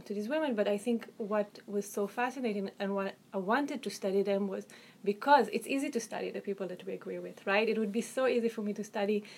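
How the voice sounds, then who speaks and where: A woman with an Israeli accent, an author answering interview questions about her research in an articulate, thoughtful way, often pausing with "um" and restarting phrases. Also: mid-range voice, vocal fry, slight background noise. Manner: articulate, hedging with um and you know, frequent restarts.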